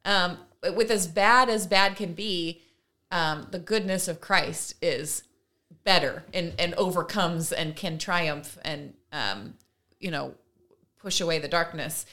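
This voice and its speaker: A woman's voice: fairly low pitch, slow, sharp tone. A woman co-hosting a podcast in casual conversational commentary, earnest and discursive. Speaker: podcast co-host.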